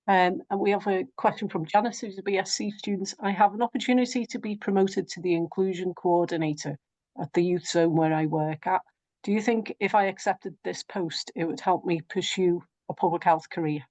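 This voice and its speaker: A woman's voice: on the low side, steady tone, a little fast, darkish tone, dry recording. A woman moderating a webinar, reading out audience questions in a friendly, encouraging way. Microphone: video call. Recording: dull lo-fi call audio.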